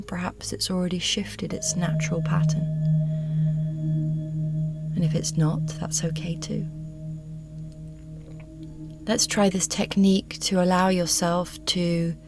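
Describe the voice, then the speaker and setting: Slow, hushed woman guiding meditation. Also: on the low side, noisy background. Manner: soothing, hushed, often breathy near whisper. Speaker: yoga nidra meditation guide. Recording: music bed with singing bowl tones. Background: meditation music with singing bowls.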